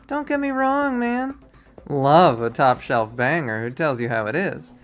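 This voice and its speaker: A man's voice: a little high, sing-song, unhurried, smooth, faint music behind. A man voicing a brash, vain young college guy in a visual novel, with slangy, swaggering talk. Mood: cocky, teasing.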